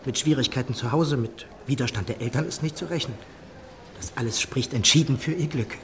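An actor playing an earnest, restrained Regency naval captain in a radio drama, speaking in a measured, formal manner. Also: male voice, medium pitch, a bit bright, slight background noise, natural voice. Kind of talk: German radio drama dialogue.

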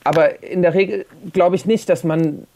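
Measured male neuroscientist. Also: high voice, creaky, warm tone. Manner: measured, explanatory, academic. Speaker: neuroscientist guest. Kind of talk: radio interview.

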